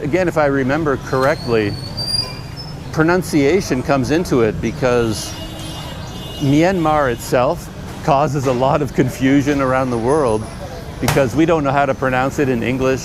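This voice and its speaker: A man's voice: medium pitch, moderate pace. Canadian man chatting over street noise. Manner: rambling, thinking aloud with fillers. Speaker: travel vlogger. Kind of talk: casual talk to camera while walking. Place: busy outdoor street market in a city. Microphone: camera mic. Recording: street noise around the camera. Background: traffic and trucks passing.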